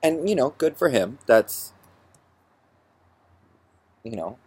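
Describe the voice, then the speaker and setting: A man with a husky voice ranting through a personal story in an exasperated, sarcastic, profane way. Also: medium pitch, sing-song, slow, a little mellow, dry recording. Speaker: vlogger.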